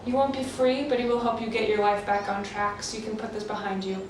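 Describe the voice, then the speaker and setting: An actress playing a firm, world-weary police officer in a radio thriller, measured and official. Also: female voice, medium pitch, little pitch movement, natural voice. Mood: measured advice. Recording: very echoey, distant, noisy recording.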